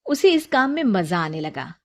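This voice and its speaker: A woman's voice: medium pitch, sing-song, normal speed, a little mellow. A woman storyteller reading a scripted moral tale as narration.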